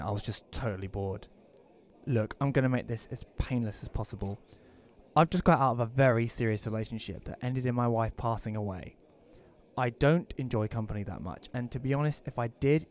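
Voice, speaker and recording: A man's voice: medium pitch, brisk, darkish tone, natural voice. A man playing a curt, sarcastic, antisocial widower who narrates his own story in an audio play. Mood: weary, dry. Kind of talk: first-person audio play narration and dialogue.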